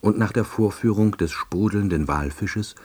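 A man's voice: fairly low pitch, normal speed, darkish tone, slightly rough. An actor playing an earnest, awkward, self-important salesman, narrating his life drily and wordily in a radio drama. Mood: wry, earnest. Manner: dry, wordy, officialese.

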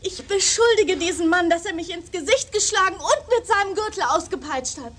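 A very high-voiced, defiant young girlfriend. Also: a little fast, fairly bright tone, slight background noise. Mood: accusing. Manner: pointed, accusing. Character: wronged, defiant young girlfriend accusing her man. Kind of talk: radio play acting.

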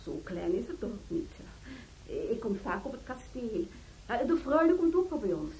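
An actress playing a carefree, snobbish sister-in-law in a radio play, flighty and affected in manner. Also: female voice, fairly high pitch, very animated, normal speed, darkish tone, slight background noise, low-quality recording. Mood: affected, boastful. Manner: flighty, affected, self-important.

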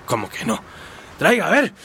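An actor playing a sly, bullying street swindler in a radio drama, with slangy, smooth talk that can turn to bluster. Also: male voice, a little high, huge pitch swings, moderate pace, bright, forceful tone, faint music behind, heavily acted. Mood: aggressive, threatening.

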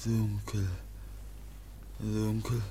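Halting, childlike young foundling. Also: male voice, on the low side, completely flat delivery, warm tone, slight background noise. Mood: wondering, halting. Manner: halting broken speech in single words. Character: childlike, timid young male foundling barely able to speak. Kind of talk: German radio play acting.

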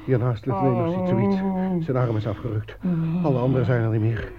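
An actor playing an anxious soldier trapped in a bunker, reasoning with comrades in a radio war drama. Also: male voice, medium pitch, animated, fairly quick, a loud crowd, natural voice. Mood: anxious, grim. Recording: muffled radio play with commotion behind.

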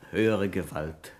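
An actor playing a dry, resigned bank employee in a 1970s radio crime comedy, speaking in an understated way. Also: male voice, lowish voice.

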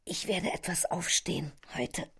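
An actress playing a frail, sick, loving middle-aged mother in a radio play, with a weak, husky voice. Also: lowish voice, unhurried, fairly bright tone, in a whisper, heavily acted. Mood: weak, tearful.

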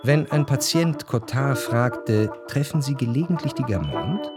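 A man narrating literary prose for a radio drama in measured, deliberate phrases. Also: medium pitch, expressive. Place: radio studio. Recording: dry studio with music behind.

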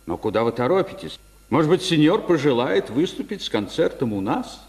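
An actor playing a suave, obliging Italian theatre director, polite and theatrical, in a 1950s Soviet radio drama. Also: male voice, fairly high pitch, very animated, a little fast, a little mellow. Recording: 1950s radio recording, long reverb.

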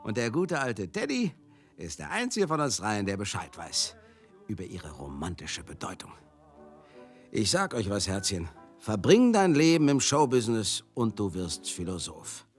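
An actor playing a chatty, jovial, sentimental showbiz manager in a radio drama monologue, effusive and confiding. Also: male voice, on the low side, very animated, moderate pace, soft background music. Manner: effusive, confiding, addresses listeners as darlings.

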